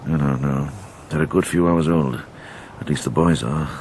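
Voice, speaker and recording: Shrewd medieval monk, British accent, extremely low voice, measured and pondering, gentle authority, radio drama, noisy ambience. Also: male voice. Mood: grave, searching. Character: shrewd, devout, thoughtful male medieval monk turned investigator.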